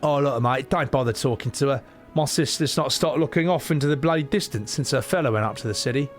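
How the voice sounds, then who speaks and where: A man's voice: medium pitch, fast talker, natural voice. A man voicing a blunt, slangy townsman in a game, warning a stranger off. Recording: faint game music behind.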